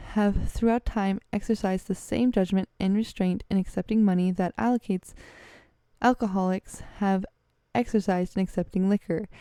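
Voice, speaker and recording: A woman reads aloud from a text in a smooth, mellow voice. She trips over words and corrects herself as she goes. The sound is close and dry. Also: medium pitch, no echo. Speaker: vlogger reading a letter aloud.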